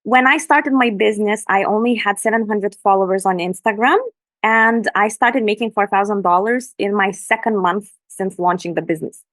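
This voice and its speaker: A woman's voice: mid-range voice, moderate pace, a bit bright, no room sound. Enthusiastic woman presenting. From Lithuania. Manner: enthusiastic, earnest. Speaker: Instagram business coach, guest. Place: room in Bali at night. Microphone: video call.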